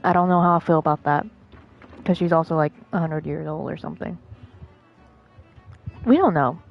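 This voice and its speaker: A woman's voice: deep voice, moderate pace, creaky, highs cut off. A woman live streamer giving casual, rambling, blunt commentary over a game. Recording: faint game music behind.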